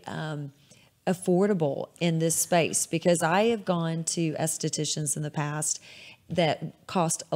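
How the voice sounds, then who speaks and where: A woman with a Texan accent hosting a chat show, enthusiastic and quick to laugh. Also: deep voice, darkish tone. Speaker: host. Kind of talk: casual chat.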